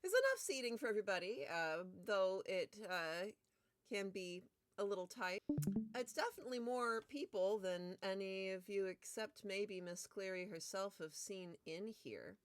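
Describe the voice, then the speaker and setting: A woman's voice: mid-range voice, expressive, normal speed, a little hiss or hum. A woman narrating as game master of a live-streamed tabletop game.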